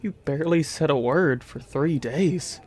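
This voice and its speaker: A young man playing a warrior who is grieving his father, speaking in a steady, quietly reassuring way. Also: fairly high pitch, a little slow, lightly acted. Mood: somber.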